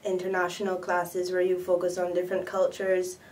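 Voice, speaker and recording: A young woman from Barbados, a student, answering interview questions in a relaxed, thoughtful way. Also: a little fast. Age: young adult. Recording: distant mic with faint rumble.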